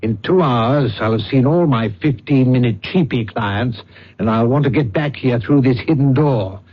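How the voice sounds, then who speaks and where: An actor playing a vain, testy psychic detective, middle-aged, in the theatrical style of old-time radio drama. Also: male voice, medium pitch, normal speed, breathy edge, lightly acted. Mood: impatient, irritated. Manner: theatrical, testy, self-important. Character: vain, impatient psychic detective and master of disguise. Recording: muffled, hissy old radio recording.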